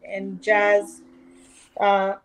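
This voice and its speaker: A woman's voice: medium pitch, monotone, drawn-out words, rough recording. A middle-aged woman guest from New York on a casual podcast, telling her story candidly and swearing freely. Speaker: guest author.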